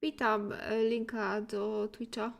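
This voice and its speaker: A woman's voice: medium pitch, little pitch movement, takes it slowly, gravelly. A Polish-accented woman streamer giving casual, chatty commentary over a game. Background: game music.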